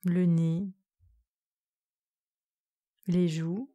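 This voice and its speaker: A woman yoga nidra instructor leading a guided relaxation meditation in a calm, soothing, measured way. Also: fairly low pitch.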